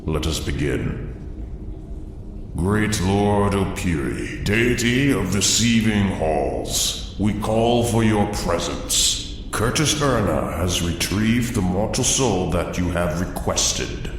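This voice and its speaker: A smug, sinister cult servant played by a man talks low and slow, oily with mock courtesy and scorn for humans. The voice is washed in heavy echo, as in a fantasy radio play. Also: expressive, full character voice. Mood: solemn, scornful. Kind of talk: fantasy audio drama acting. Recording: very echoey, distant, faint noise.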